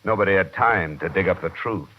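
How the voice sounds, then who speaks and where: An actor playing a tough, world-weary American insurance investigator in a vintage radio drama, clipped and matter-of-fact. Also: male voice, low-pitched, fairly quick, heavy background noise, close to an everyday voice. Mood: businesslike. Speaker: lead actor in a radio detective series. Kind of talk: 1950s radio drama acting, first-person narration and dialogue. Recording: muffled vintage radio recording with music.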